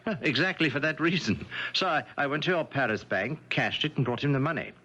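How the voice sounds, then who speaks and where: A flustered, hesitant male secretary, lively pitch. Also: quick pace. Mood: earnest, hesitant. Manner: hesitant, stammering. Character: deferential, flustered male secretary to a rich man. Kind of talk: 1970s radio drama acting. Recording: dull vintage radio broadcast recording, music behind.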